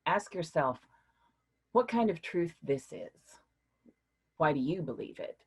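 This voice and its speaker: A woman's voice: sits low, takes it slowly, slightly rough, exaggerated voice. An actress playing a patient woman philosophy professor leading a class discussion in a staged play reading. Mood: teacherly. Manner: lively, questioning, teacherly.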